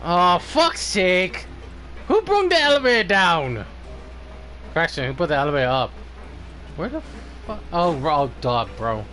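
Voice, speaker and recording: A man's voice: sits high, big pitch swings, a little slow, pauses often, pushed and bright, soft background music. A man giving casual live gameplay commentary, informally muttering to himself and swearing. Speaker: game streamer.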